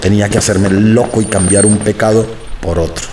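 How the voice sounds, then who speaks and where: A man narrating a radio drama in the first person, calm and reflective. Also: lowish voice, fairly quick, noisy background. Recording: roomy, slightly distant mic.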